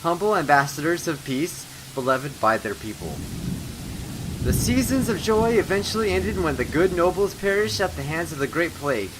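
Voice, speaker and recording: A solemn narrator of a horror game legend, put on by a man. The reading is dramatic and deliberate, like an old storybook, with music behind. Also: fairly high pitch, expressive, noisy background, lightly acted. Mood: grand.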